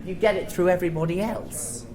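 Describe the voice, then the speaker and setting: A British woman with a very low-pitched voice talks on a live panel. She explains and argues her points articulately but informally. There is faint noise behind her in the theatre. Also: fairly quick. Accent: British English.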